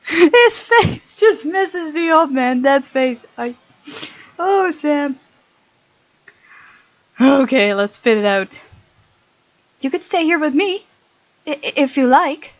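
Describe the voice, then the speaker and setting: A woman's voice: high voice, animated, takes it slowly, pauses often, muffled recording. A woman hosting a gaming video, reading visual novel text aloud with chatty, giggly commentary.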